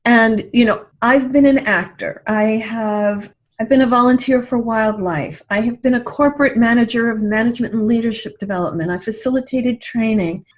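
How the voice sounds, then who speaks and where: A woman's voice: on the high side, moderate pace. A middle-aged woman talking reflectively as an interview guest, earnest and thoughtful, telling anecdotes from experience. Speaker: podcast guest, life coach. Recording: dull lo-fi audio.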